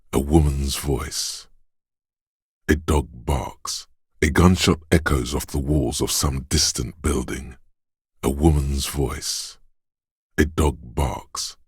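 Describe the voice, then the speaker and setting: An actor voicing a watchful inner sense in a video game, narrating slowly and deliberately. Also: male voice, very deep voice, husky, no room sound, studio quality, exaggerated voice. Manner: slow, measured, deliberate delivery.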